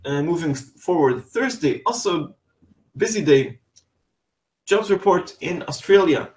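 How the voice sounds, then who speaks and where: A man's voice: on the high side, expressive, measured pace, darkish tone. A man in Europe giving an unscripted weekly currency market preview over slides, with frequent fillers and restarts. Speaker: market analyst.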